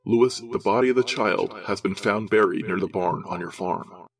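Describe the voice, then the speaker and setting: A man's voice: sits low, normal speed. A man voicing a furious male district attorney who roars accusations at a suspect. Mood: stern. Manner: roaring, accusing.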